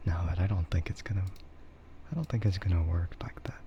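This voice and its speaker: A soft-spoken man chatting on an ASMR livestream, often slipping into whispers, with keyboard typing and clicking behind him. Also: sits low, brisk, highs cut off, background noise. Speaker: streamer.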